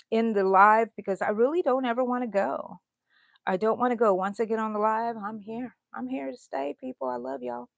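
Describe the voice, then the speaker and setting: A woman's voice: on the high side, gravelly, dry recording. Middle-aged lady chatting away on her crochet live, rambly, dry close sound. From Houston, Texas. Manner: chatty, rambling, answers viewer comments aloud. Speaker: crochet channel host. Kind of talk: casual livestream chat while crocheting.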